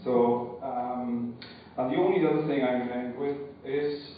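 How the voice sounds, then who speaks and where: A man's voice: mid-range voice, fairly even intonation, unhurried, slight background noise. A man speaking at a public meeting, hesitant and cautious, often repeating words. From Wales. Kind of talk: unscripted public speech. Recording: echoey hall, far from mic, dull sound.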